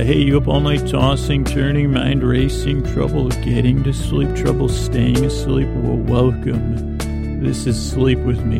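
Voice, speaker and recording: A man hosting a sleep podcast, rambling gently with many fillers and restarts. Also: medium pitch, vocal fry. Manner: soft and gentle. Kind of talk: rambling bedtime podcast intro.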